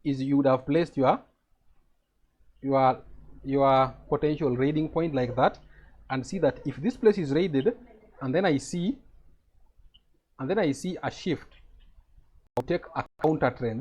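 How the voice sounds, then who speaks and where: A man's voice: mid-range voice, moderate pace, long pauses, a little mellow, slight background noise. A man with a Kenyan accent teaching a live trading lesson over a video call, explaining step by step and checking that his students follow.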